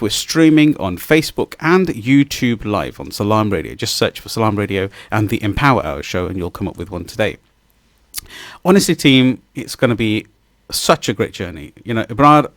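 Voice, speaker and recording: Effusive male radio host, lively rising-falling delivery, dry close studio mic. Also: on the low side, no echo. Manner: effusive, rambling, lively intonation. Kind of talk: live radio interview.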